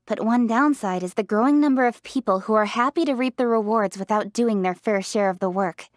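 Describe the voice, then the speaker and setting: A woman's voice: a little high, dry recording, studio quality, close to an everyday voice. A young woman voicing a diligent, proud young city official in a video game, speaking in an articulate, assertive, matter-of-fact way. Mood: opinionated. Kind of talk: scripted video game character voice lines.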